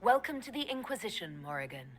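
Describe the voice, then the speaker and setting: A woman's voice: mid-range voice, very animated, fast talker, lightly acted. Blunt heroine mage played by a woman: middle-register female voice, wry and composed game dialogue, music underneath. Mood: guarded. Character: blunt, self-assured elven mage leader.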